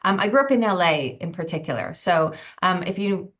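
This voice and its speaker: A woman's voice: on the low side, expressive, brisk, fairly bright tone. A woman in her forties talking as a nutritionist guest in a casual interview, thoughtful with frequent ums and restarts. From United States.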